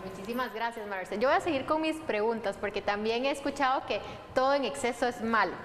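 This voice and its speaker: A woman's voice: fairly high pitch, quick pace, a bit bright. A young woman hosting conversationally and asking questions. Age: young adult. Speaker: host. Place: studio set. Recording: hall reverb, background noise.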